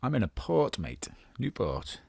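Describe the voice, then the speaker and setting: A man's voice: mid-range voice, very animated, measured pace, vocal fry, husky, no echo, clean studio sound. A man, an actor and writer, chatting casually and self-deprecatingly into a microphone in a bedroom at home. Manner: self-deprecating, thinking aloud. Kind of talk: casual chat. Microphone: studio-style microphone, close.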